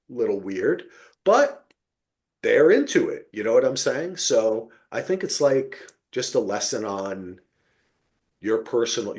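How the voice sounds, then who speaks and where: Animated, rambling male podcast host. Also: medium pitch. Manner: rambling, halting, checks in with "right?" and "you know"; casual swearing. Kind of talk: casual TV discussion podcast.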